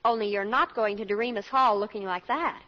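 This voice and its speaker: A young woman playing a breezy, bossy college student daughter in a 1940s radio drama, brisk and slangy. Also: medium pitch, fairly bright tone, big-room sound, close to an everyday voice. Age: young adult. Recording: dull lo-fi 1940s radio broadcast.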